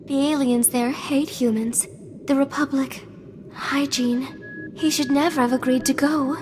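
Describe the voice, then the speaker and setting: A woman's voice: high-pitched, steady tone, measured pace, a little mellow. A woman playing a flirty, sensitive hologram receptionist in a video game, chatty and gushing with a sassy edge. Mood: sassy, hurt. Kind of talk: cinematic video game dialogue. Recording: hall reverb, faint music behind.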